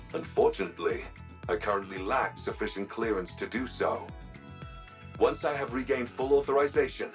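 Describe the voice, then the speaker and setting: A man playing a polite, formal ship's machine intelligence in a video game, speaking in measured, courteous phrases. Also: fairly low pitch, distant mic, natural voice. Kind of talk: video game cutscene acting.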